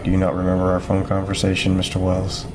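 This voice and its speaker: An actor playing a calm, courteous but probing police chief with an authoritative manner in an audio drama. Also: male voice, deep voice, quick pace, noisy background, close to an everyday voice. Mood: politely insistent. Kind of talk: naturalistic fiction podcast acting.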